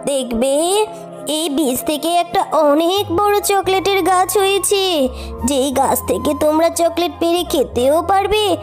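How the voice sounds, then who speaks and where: A woman voicing a gentle, coaxing old village granny in a cartoon fairy tale. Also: very high-pitched. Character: kindly old village granny consoling a child.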